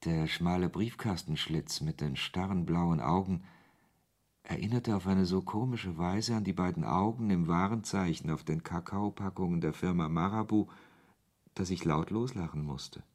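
An actor playing a wry author who narrates a radio play in the first person, in a husky voice. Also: male voice, deep voice, normal speed, darkish tone. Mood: wry narration. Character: male author narrating his absurd encounter, wry and irritated.

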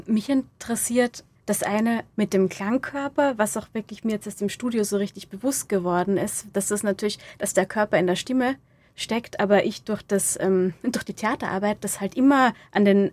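A woman's voice: medium pitch, fairly quick, a little mellow, no echo. A woman speaking as an interviewed playwright, casual and thoughtful, linking loose sentences and rewording as she goes. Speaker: playwright interviewed about her radio play. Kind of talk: casual reflective interview talk.

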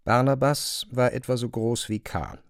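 A man's voice: medium pitch, steady tone, a little slow, clear, resonant tone, dry recording. A man narrating a classic novel as a scripted literary reading, close to a studio microphone.

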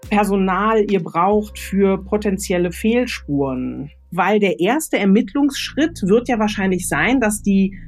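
A woman with a mid-pitched voice talks as a guest author giving advice. She thinks aloud and lays out each step in an explanatory way. Faint music plays behind her. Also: expressive, a little slow, few pauses, darkish tone. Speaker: guest expert, radio drama author. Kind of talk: unscripted expert advice in conversation.

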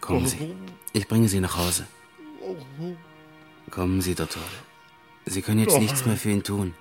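A man acting a gently insistent consoler in a radio drama, speaking softly and coaxingly. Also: low-pitched, lively intonation, a little slow. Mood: gentle, sombre. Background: music.

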